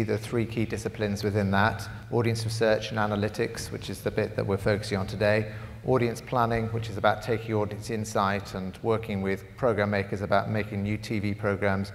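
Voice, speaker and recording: A man with a low, gravelly voice gives a measured talk, often pausing on "uh". His pitch stays flat and close. He speaks in a large conference hall with a long echo. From United Kingdom. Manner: measured, with frequent "uh" fillers. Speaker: panel speaker, head of audiences.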